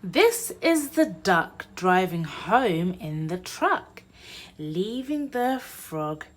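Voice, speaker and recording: A woman reading a children's storybook aloud in an expressive, sing-song way, addressing young children. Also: fairly low pitch, drawn-out words. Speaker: mother and presenter of a children's read-aloud channel.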